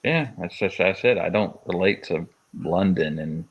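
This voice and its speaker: A man's voice: sits low, unhurried, highs cut off, a little hiss or hum. A man in his forties from Kentucky, co-hosting a casual live-stream chat and rambling with many restarts. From Louisville, Kentucky, USA. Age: 40s. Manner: rambling, stop-start phrasing with many restarts. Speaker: podcast co-host.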